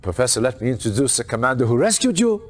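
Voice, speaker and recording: A man acts as an authoritative military chief in a strategy game cutscene. He has a medium-pitched voice and speaks formally, in a businesslike, commanding way. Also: sing-song, quick pace, lightly acted. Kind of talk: acted video game cutscene.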